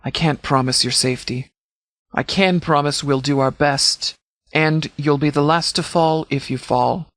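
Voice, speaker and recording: A man playing a composed, pragmatic military commander in a video game, speaking in a formal, measured, tactical way. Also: a little high, steady tone, a bit bright, dry recording. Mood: composed, authoritative. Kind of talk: video game dialogue acting.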